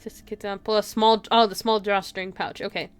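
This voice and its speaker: A woman's voice: medium pitch, level tone, moderate pace, smooth. A woman livestreaming a visual novel read-aloud, giggling and reacting aloud in casual asides. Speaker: streamer.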